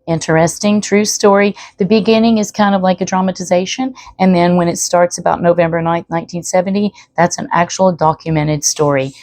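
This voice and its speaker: A woman's voice: lowish voice, steady tone, brisk, hardly any pauses, darkish tone, no room sound. A woman storyteller reading aloud expressively, with chatty asides to the listener. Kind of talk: expressive read-aloud narration.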